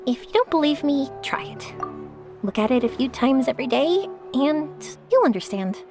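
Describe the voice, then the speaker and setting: A bubbly young woman for a game story, speaks in a high voice with lively swings. She is chatty, warm-hearted and encouraging, over background music. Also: normal speed. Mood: kind, encouraging. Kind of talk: scripted video game story dialogue.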